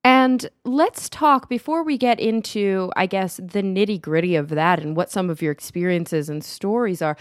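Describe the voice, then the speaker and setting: A woman's voice: fairly low pitch, dry recording, clean studio sound. A woman interviewing a guest, steering with short prompting questions. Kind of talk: conversational interview.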